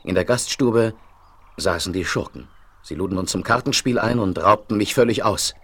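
An actor playing a lanky, earnest travelling healer of the Wild West, in an expressive, theatrical radio play manner. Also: male voice, lowish voice, moderate pace, breathy edge. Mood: earnest, recounting. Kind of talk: 1970s German radio play acting.

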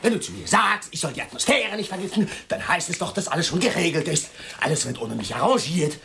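A man's voice: on the high side, sing-song, fast talker, creaky, bright, forceful tone, pitched above the performer's own voice, exaggerated voice. An actor voicing a self-pitying, imperious middle-aged mother in a radio drama, with indignant, querulous and grandiose delivery. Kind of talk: radio drama, quoted dialogue.

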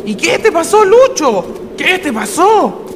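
A man's voice: extremely high pitch, very animated, slow, heavily acted. A man playing a blunt, matey country traveller in a radio drama, with clipped colloquial speech. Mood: agitated. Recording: noisy ambient radio drama recording.

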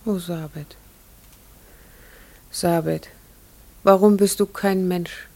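A woman's voice: fairly low pitch, fairly even intonation, takes it slowly, dark tone. A woman playing a loving, distressed human in a radio play, speaking in an emotional, imploring way.

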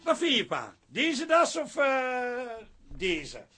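An actor playing an exasperated, sarcastic middle-aged son-in-law from Twente in a radio drama, husky and declaiming. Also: male voice, extremely high pitch, wildly swooping pitch, takes it slowly, over loud music, full character voice. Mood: matter-of-fact. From Twente, eastern Netherlands.

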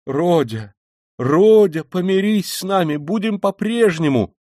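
A man's voice: high-pitched, expressive, a little slow, warm tone, no room sound, clean studio sound, pitched above the performer's own voice. A man voicing an anxious, loving mother who exclaims and pleads in an audiobook drama reading. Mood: pleading.

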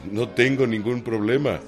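Actor as grave army general, low male voice, solemn, measured, declamatory, radio drama, music underneath. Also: close to an everyday voice. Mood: firm, assured. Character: grave, articulate army general of the Mexican Revolution.

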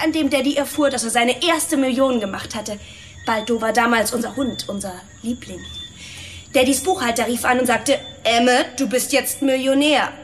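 Higher-voiced, poised actress as a young heiress. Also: female voice, a little hiss or hum, close to an everyday voice. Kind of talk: radio drama acting.

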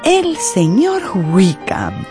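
Mellow, expressive woman storyteller. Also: medium pitch, extremely slow, faint music behind. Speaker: narrator. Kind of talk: dramatized literary storytelling for radio.

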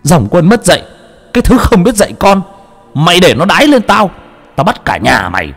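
A raging vengeful spirit speaks through a possessed young man, as a man puts it on. The voice jumps high and snarls out curses and threats, swinging widely in pitch. Faint music sits under it. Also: big pitch swings, moderate pace, creaky, fairly bright tone, husky, long reverb tail, heavily acted.

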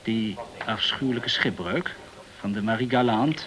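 Courteous, talkative journalist. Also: male voice, on the low side, a little slow, fairly bright tone. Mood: grave, informative. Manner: courteous and chatty, peppered with French words. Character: polite, talkative French journalist in the tropical West Indies. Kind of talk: Dutch radio play acting.

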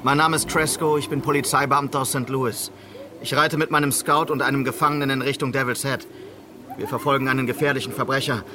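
An actor playing a determined, authoritative Old West police officer in a German radio play. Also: male voice, medium pitch, steady tone, a little fast, noisy background, lightly acted. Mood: calm, official. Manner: authoritative, terse. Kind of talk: German radio play acting with narrated log.

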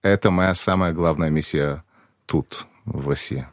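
A man in his thirties with a very low voice, talking as a podcast guest. He explains things plainly and simply, as if for a child, and the dry studio sound keeps him close to the mic. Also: measured pace, dry recording. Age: 30s. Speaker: embassy press secretary, guest. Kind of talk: casual interview.

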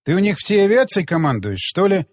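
A man's voice: high-pitched, sing-song, brisk, no room sound, clean studio sound. A man voicing a wry, devoted male adventurer in an audiobook, with a casual, joking manner. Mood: teasing.

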